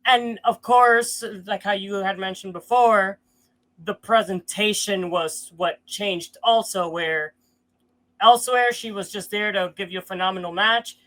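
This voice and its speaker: Nasal man, bright voice. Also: sits high, steady tone, a little slow, rough recording. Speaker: panel guest. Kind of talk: casual panel chat.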